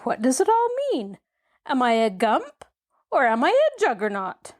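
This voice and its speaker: Storybook creature: squeaky, high, worried gump, a woman acting it out. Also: wildly swooping pitch, a little slow. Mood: plaintive. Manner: high and plaintive. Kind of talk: audiobook character voice.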